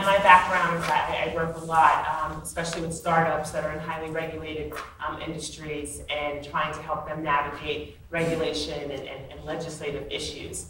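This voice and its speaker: A woman's voice: low voice, far from the mic, noisy background. A woman on a conference panel, speaking earnestly with many fillers and restarts. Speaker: panelist, attorney. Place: large conference hall. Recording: echoey hall, mic from afar.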